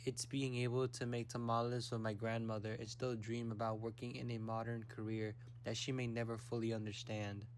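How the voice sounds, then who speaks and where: A young man from the United States giving a reflective, measured narration for a personal documentary. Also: medium pitch, little pitch movement, slight background noise.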